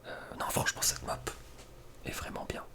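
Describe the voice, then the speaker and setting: A young man whispering casual ASMR gaming commentary in an informal, slangy, hesitant way. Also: moderate pace. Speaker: ASMR video creator.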